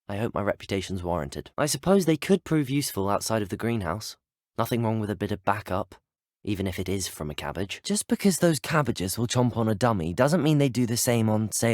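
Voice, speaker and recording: A man's voice: on the low side, expressive, fairly quick, no room sound, clean studio sound, natural voice. A young man with a British accent voicing a courteous, earnest teenage wizard in a video game, well-spoken and formal. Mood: polite, wry. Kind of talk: scripted video game dialogue.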